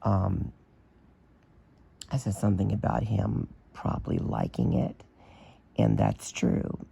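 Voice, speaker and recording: A man with a badly hoarse, strained voice holds forth in a slow, unhurried vlog. His tone is dark and heavily gravelly, with a raspy rough edge throughout. He talks bluntly, in a plain opinionated way, with fillers. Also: deep voice, big pitch swings. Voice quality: damaged, strained voice. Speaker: vlogger. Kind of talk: casual commentary to camera.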